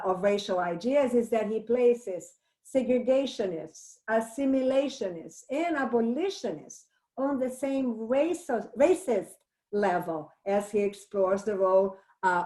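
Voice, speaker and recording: A woman's voice: mid-range voice, darkish tone. A woman professor with a Brazilian accent leading a book discussion over a video call, explaining at length with frequent fillers and restarts. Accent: Brazilian Portuguese. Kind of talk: online book discussion lecture.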